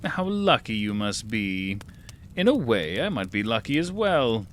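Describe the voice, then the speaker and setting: Smug theatrical cat narrator for dark audio fables, real slow and savoring, voice swoops around. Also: male voice, fairly low pitch, very animated, a little hiss or hum. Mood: smug, teasing. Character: sly, theatrical cat guide relishing grim tales. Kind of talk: scripted audio fiction narration.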